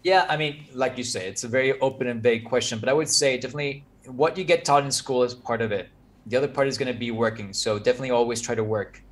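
Brief: man in his thirties from Mexico, Spanish native, earnest and easy to laugh, mid-pitched voice over a video call. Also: fast talker. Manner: earnest, advice-giving, laughs easily. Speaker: guest architect. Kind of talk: casual video-call chat. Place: home office with framed pictures.

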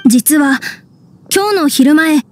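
A woman's voice: high voice, animated, takes it slowly, slight background noise. A woman playing an aggrieved female caravan traveller in an anime game, complaining in a feminine manner. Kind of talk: Japanese anime game voice acting.

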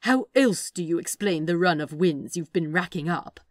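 A woman's voice: on the low side, lively intonation, normal speed, no echo, clean studio sound, natural voice. A woman voicing a prim, fond but indignant male angel in a fan fiction reading, precise and emphatic. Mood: exasperated.

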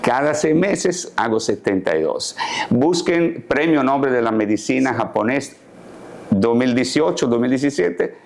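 A man in his sixties, a tailor, calmly explaining his daily routine in a sit-down interview, recorded on a lapel mic in a living room set. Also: mid-range voice, a little slow, gravelly. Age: 60s. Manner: calm, explanatory, gestures while talking. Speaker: guest, tailor. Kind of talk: casual interview talk.

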